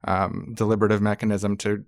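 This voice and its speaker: A man's voice: lowish voice, flat delivery, brisk, no room sound, studio quality. A man co-hosting a podcast, explaining things in an informal, conversational way. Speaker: podcast co-host.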